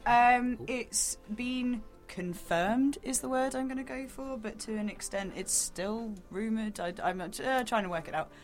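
A woman's voice: fairly high pitch, unhurried, hardly any pauses, soft background music. A woman co-hosting a casual book chat, thinking aloud and searching for her words. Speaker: podcast co-host.

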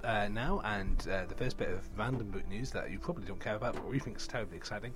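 A soft-spoken man hosting a casual book show chat, hesitant and full of fillers. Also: fairly low pitch, fast, over music. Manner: hesitant, filler-heavy, self-deprecating. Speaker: podcast host.

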